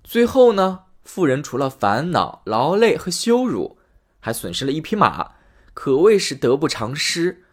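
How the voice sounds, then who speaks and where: A man narrating a fairy tale for a storytelling podcast, lively and expressive. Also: fairly high pitch, measured pace.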